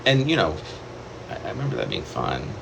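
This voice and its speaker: Man, poet, low voice, reflective reading, deliberate pauses, audible air-conditioner hum. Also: very animated, vocal fry. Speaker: poet reading his own poem. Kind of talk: poetry reading.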